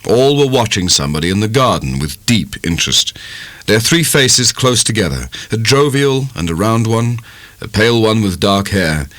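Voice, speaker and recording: A British man narrates a classic novel in a deep voice with animated, expressive intonation. His delivery is dramatic yet measured, and the recording is close and dry. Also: no room sound. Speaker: audiobook narrator. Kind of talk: dramatic scripted literary narration.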